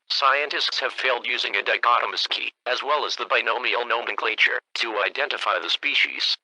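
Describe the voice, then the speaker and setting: A man's voice: mid-range voice, sharp tone, no room sound, low-quality recording. Formal synthetic male emergency announcer. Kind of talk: scripted emergency broadcast announcement.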